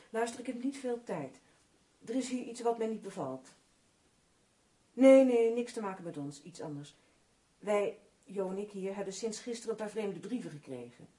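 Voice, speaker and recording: Sceptical female crime novelist in radio drama; husky, animated, bossy, no patience for nonsense. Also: on the high side. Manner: dismissive, bossy, brisk. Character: sharp, sceptical woman co-writing crime novels with her partner.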